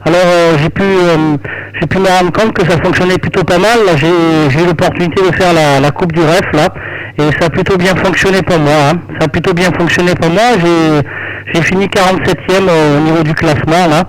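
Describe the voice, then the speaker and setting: Higher-voiced man chatting over ham radio. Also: steady tone, fairly quick, rough recording. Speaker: amateur radio operator. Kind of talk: casual ham radio conversation.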